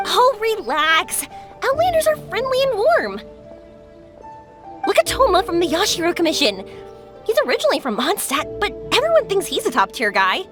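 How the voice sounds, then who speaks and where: Peppy young game heroine, super high voice, bouncy delivery, chatty and casual. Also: female voice, big pitch swings, normal speed, over music. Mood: playful, exasperated. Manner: bubbly, chatty, informal. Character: cheerful, excitable young woman, a fireworks artisan. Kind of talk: animated video game voice acting.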